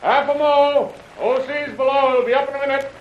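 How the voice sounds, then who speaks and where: A man's voice: extremely high pitch, fairly even intonation, fairly quick. A male actor playing a wary submarine sailor in an old radio serial, calling out curtly. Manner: curt, calling out. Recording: distant, muffled old radio recording.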